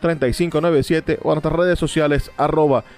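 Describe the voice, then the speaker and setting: Higher-voiced radio presenter, male. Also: fairly even intonation, fast talker, a little mellow. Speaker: radio announcer. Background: music.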